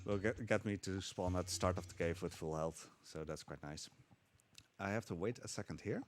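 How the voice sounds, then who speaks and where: A man calmly explaining tricks while playing a game live, with frequent fillers. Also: low voice, moderate pace, faint music behind. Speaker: speedrunner. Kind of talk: live speedrun commentary.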